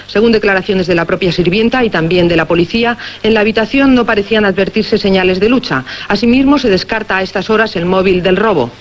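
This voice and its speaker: A man playing a formal, measured radio newsreader in a radio drama, reading a grim bulletin. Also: high voice, highs cut off, lightly acted. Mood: formal, grave.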